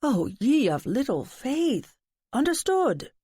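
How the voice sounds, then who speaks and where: A woman's voice: sits high, very animated, slow pace, mellow, slightly rough, no room sound, clean studio sound, pitched above the performer's own voice, full character voice. A woman voicing a flamboyant, theatrical man. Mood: theatrical, gushing. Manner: theatrical, drawling "darling", flourishing. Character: flamboyant dramatic man, gossipy theater type. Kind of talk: audiobook character voice.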